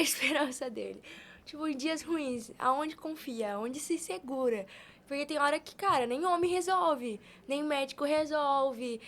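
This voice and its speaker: A woman of eighteen with a Brazilian accent, talking casually as a guest on a podcast. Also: sits high. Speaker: podcast guest, youth preacher.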